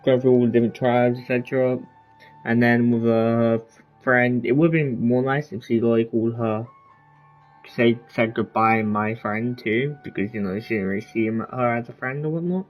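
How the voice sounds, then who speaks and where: A man's voice: medium pitch, fairly even intonation, normal speed, dull audio. A man casually commentating as he plays a game, rambling and offhand. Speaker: gaming streamer. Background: faint game music.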